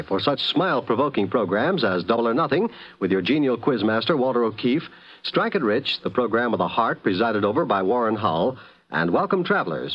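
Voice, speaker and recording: A man's voice: medium pitch, rough recording. A man with an American accent reading a scripted 1950s network radio promotion as the announcer.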